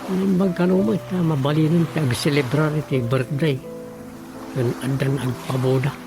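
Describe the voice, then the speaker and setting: A chatty village councilman and husband in a radio drama comedy, played by a man, with a slightly high, gravelly voice. He thinks aloud and exclaims as he explains, with music playing underneath. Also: steady tone, normal speed, natural voice. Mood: musing to himself. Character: talkative married village councilman, musing and explaining to his wife.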